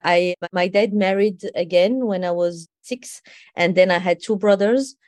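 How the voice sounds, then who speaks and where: A woman in her forties from Morocco, a guest telling her life story in a reflective, unhurried way. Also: fairly low pitch, a little mellow, dry recording. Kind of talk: conversational storytelling.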